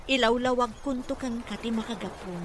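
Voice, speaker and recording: A woman's voice: fairly high pitch, a little fast, close to an everyday voice. An actress playing a dutiful, worried young mother who works as a caregiver, in an Ilocano-accented radio drama. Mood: earnest. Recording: noisy radio drama with ambience.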